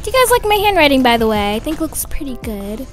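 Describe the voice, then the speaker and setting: A woman's voice: sits high, very animated, fast, soft background music, voice pushed down. A woman voicing a perky, self-satisfied kindergarten teacher who chats and instructs in a roleplay story. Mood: pleased, chatty. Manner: chatty, self-admiring, instructing. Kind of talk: animated doll-game roleplay voice acting.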